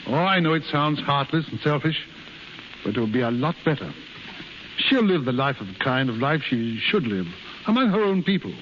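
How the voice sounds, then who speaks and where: An actor playing a frank, avuncular, pragmatic American consul in 1930s radio drama style. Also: male voice, medium pitch, big pitch swings, normal speed, close to an everyday voice. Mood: persuasive. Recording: muffled, hissy 1930s radio broadcast recording.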